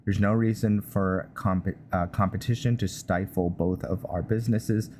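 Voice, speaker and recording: A man hosting a gaming video, giving casual, halting roleplay commentary and reading in-game text aloud. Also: low voice, steady tone, darkish tone, a little hiss or hum. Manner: hesitant, halting delivery. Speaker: gaming channel host.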